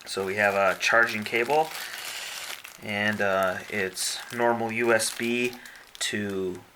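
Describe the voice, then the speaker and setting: A man with a fairly low voice and a bright, forward edge talks through an unboxing casually. He explains each item step by step in a measured way. A faint hiss sits behind his voice. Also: fairly even intonation, low-quality recording. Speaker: product reviewer.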